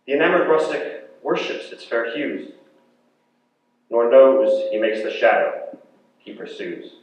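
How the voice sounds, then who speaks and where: A man's voice: mid-range voice, measured pace. A young man in his teens giving a dramatic, declamatory poetry recitation with emphatic, theatrical phrasing. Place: large echoey indoor hall at a poetry competition. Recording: very echoey hall, distant dull mic, faint noise.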